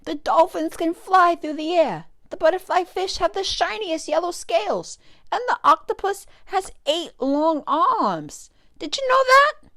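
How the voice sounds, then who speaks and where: Storybook young boy shark, played by a woman: eager, earnest, exclaiming, high and very animated. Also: unhurried, dry recording, studio quality, full character voice. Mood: excited. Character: eager, friendly young male lemon shark.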